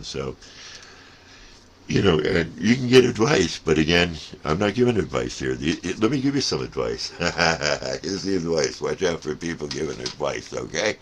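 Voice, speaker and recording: Casting: older male hobby vlogger, gravel-edged bottom-register voice, casual and digressive, repeats words for emphasis. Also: extremely low pitch, normal speed. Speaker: CB radio hobbyist giving advice. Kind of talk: casual rambling hobby vlog monologue. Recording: noticeable steady background noise.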